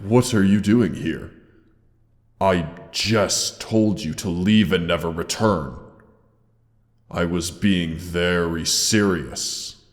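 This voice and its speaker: A man voicing a gentle, caring old dragon in a roleplay audio drama, speaking formally and tenderly with dramatic pauses. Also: low-pitched. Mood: surprised, fond. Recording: long cavernous reverb.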